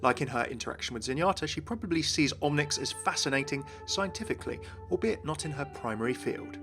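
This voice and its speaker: A man's voice: a little high, lively intonation, quick pace, a bit bright. A man presenting game lore as an enthusiastic scripted video narration. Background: music.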